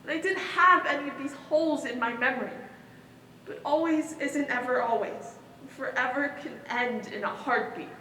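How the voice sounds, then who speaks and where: A woman acting a troubled, bewildered figure with a failing memory in a stage monologue, measured and reflective. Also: high-pitched, sharp tone. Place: stage in a large hall, distant mic. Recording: echoey hall from far off, noisy.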